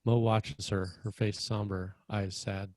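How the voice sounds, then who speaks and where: A man's voice: on the low side, flat delivery, measured pace, a little mellow, slight background noise. A man narrating scripted screenplay action as the stage-direction reader at a table read.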